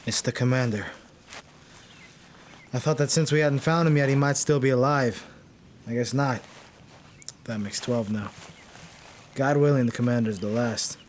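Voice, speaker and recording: A man acting a somber airship crewman in an audio drama. His mid-pitched voice is weary and matter-of-fact. Faint noise runs behind it. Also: fairly even intonation, moderate pace, pauses often, close to an everyday voice. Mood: grim, subdued. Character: grim young airship crewman surveying the dead.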